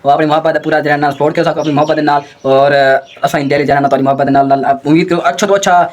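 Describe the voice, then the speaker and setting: A man vlogging casually outdoors in the countryside, slightly hoarse from a sore throat, chatty and excited. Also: fairly high pitch, racing, no room sound. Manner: chatty, excited, calls out to people. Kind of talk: casual vlog narration.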